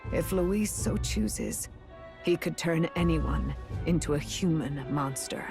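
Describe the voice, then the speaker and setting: A woman voicing a grave, learned sage in a fantasy video game, explaining in formal, measured, solemn sentences. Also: low-pitched, creaky, heavily acted. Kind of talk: scripted video game voice acting. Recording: loud music behind. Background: game soundtrack music.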